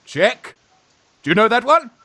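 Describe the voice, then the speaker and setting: A man in a radio farce playing a young fellow who poses as a gruff, flustered old aunt, halting and blustering. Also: very high voice, big pitch swings, sharp tone, soft background music, full character voice. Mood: evasive, chatty. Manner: blustering, halting, repeats words. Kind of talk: stage farce radio acting.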